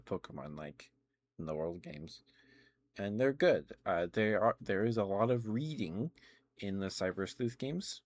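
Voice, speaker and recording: A man's voice: low-pitched, slight background noise. A man hosting a livestream, chatting casually in an ASMR setting about video games in a meandering, self-correcting way. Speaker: livestream host.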